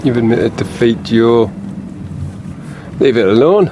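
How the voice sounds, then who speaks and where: Plain-speaking, down-to-earth colleague in radio drama; medium pitch, blunt, clearly noisy outdoor vehicle backdrop. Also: male voice, lively intonation, slow. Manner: blunt, matter-of-fact. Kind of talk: naturalistic radio drama acting.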